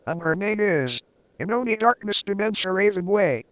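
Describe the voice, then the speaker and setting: A man's voice: sits high, expressive, normal speed, no room sound. Man voicing old stern wizard headmaster, solemn declaring, dull dry recording.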